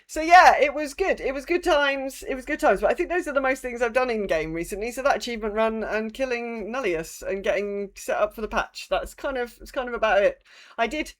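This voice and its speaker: A woman's voice: fairly high pitch, expressive, a little fast. A woman co-hosting a podcast in casual gaming chat, speaking informally and hesitantly with some swearing. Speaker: podcast co-host.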